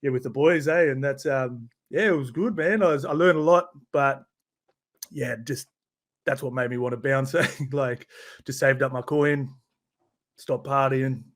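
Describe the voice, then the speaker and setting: A man's voice: medium pitch, moderate pace. A young Australian man, a podcast guest, chatting in a laid-back, slangy way and laughing often. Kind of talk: casual chat.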